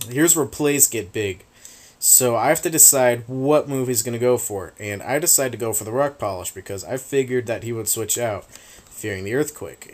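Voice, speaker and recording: Laid-back low-voiced man commenting on a game. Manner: rambling, laid-back, swears casually. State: voice getting raspy from long talking. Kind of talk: casual gameplay commentary.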